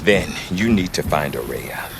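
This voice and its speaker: An actor playing a folksy, wry middle-aged trader in a video game, chatty and given to colloquial sales patter and hesitations. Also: male voice, low voice, animated, measured pace, creaky, background noise, exaggerated voice. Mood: wry, confiding. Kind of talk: video game character acting.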